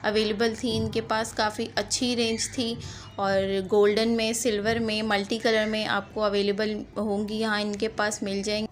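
A woman's voice: mid-range voice, little pitch movement, normal speed. A young adult Pakistani woman narrating a vlog in voice-over. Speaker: vlogger. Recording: clear background noise under narration.

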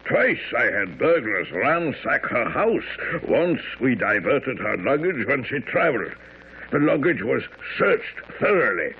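A man's voice: medium pitch, very animated, unhurried, slight background noise. An actor playing a proud, anxious European king in a radio drama, speaking formally and emphatically. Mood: agitated. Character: proud, anxious young European king confessing youthful folly. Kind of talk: 1970s radio drama acting. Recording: muffled, tinny old radio broadcast.

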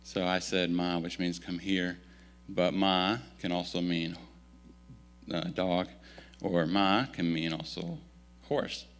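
A man in his thirties who grew up in Italy, giving a relaxed, self-deprecating missionary update in a church at a stand mic. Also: low-pitched, takes it slowly, faint music behind. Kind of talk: casual storytelling talk to a congregation. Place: church sanctuary, indoors. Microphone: stand microphone.

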